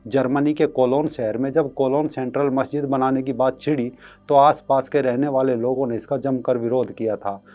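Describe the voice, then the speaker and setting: A man's voice: medium pitch, steady tone, normal speed, lo-fi sound, faint music behind. A man hosting an online commentary show, reading a scripted piece with emphatic, rhetorical delivery.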